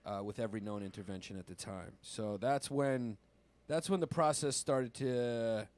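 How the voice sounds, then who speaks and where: A man's voice: medium pitch, moderate pace, a little mellow. A man whose first language is Greek, co-hosting a comedy history podcast in a rambling, casual way, often stumbling over words. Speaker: podcast co-host.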